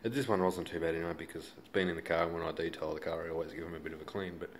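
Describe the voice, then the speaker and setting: A man's voice: low-pitched, steady tone, very fast. An Australian man giving a casual, laid-back hands-on how-to commentary in colloquial language. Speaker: hobbyist demonstrator. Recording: noisy handheld home video.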